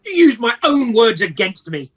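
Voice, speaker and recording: Woman playing a lively, challenging old miser. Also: on the high side, moderate pace, slightly rough. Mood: anxious. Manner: questioning, challenging, earnest. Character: troubled old male miser questioning a spirit. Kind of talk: amateur radio play acting. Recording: faint noise on home call.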